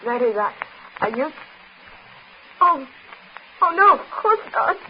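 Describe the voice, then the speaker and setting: A muffled, clearly noisy 1940s radio recording carries a fluttery, timid woman. She speaks hesitantly in a high voice that swoops with feeling. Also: very animated, slow, exaggerated voice. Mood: flustered. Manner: hesitant, faltering. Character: fluttery, timid, lovelorn woman of genteel household. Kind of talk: 1940s radio thriller acting.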